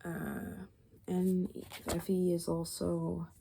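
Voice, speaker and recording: A woman doing soft, rambling ASMR, musing hesitantly as she thinks aloud, her voice fading toward whispers. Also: fairly low pitch, monotone, very slow, vocal fry, slight background noise. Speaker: ASMR video creator.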